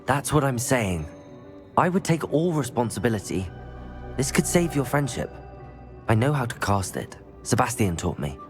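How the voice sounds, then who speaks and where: Guy doing a calm student wizard, persuasive and polite, expressive pitch, faint game music. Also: moderate pace, close to an everyday voice. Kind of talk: video game cutscene acting.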